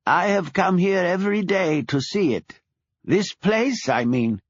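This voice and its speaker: A man voicing a timid, tearful Polish immigrant man who speaks halting broken English with a Polish accent, in an audiobook. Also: sits high, no echo, highs cut off, voice pushed up.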